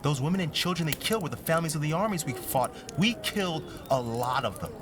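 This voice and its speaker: A man's voice: fairly high pitch, animated, fast, music in the background, close to an everyday voice. A man playing an anguished, idealistic teenage American boy in a fantasy audio drama, speaking with stammering, slangy intensity. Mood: horrified guilt.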